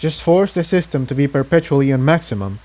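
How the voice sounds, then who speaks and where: A man's voice: medium pitch, expressive, quick pace, mellow, natural voice. A man voicing an earnest, self-sacrificing male unicorn pony in a storybook reading, talking in a practical, reassuring way.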